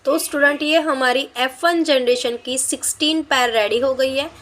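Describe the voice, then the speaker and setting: A woman's voice: high voice, moderate pace, a bit bright. A woman giving a practical demonstration lesson as a biology teacher, explaining in an instructional way and repeating steps for students.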